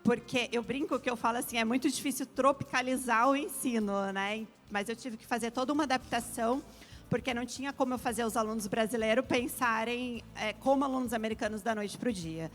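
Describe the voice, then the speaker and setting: Mulher brasileira explicando com voz aguda. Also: female voice, a little high, fairly quick, soft background music. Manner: explains at length, frequent "né" tags. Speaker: international education specialist, guest. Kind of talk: explanatory interview talk.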